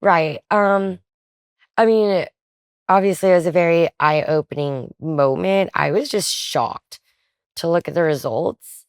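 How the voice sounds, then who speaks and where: A young woman from the Philippines talking as an interview guest, her voice sitting on the low side for a woman. She speaks in a relaxed, chatty way, full of little fillers, and laughs easily. The sound is close and dry. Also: measured pace, smooth, no room sound. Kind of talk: casual interview chat.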